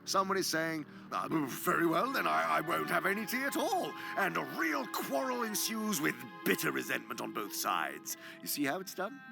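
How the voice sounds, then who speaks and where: Gravelly, theatrical demon mentor. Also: male voice, fairly high pitch, heavily acted. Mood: animated, mocking. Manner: pompous, dramatic, savoring words, dropping into whispers. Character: gloating, sly senior male demon mentor. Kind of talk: theatrical radio drama monologue.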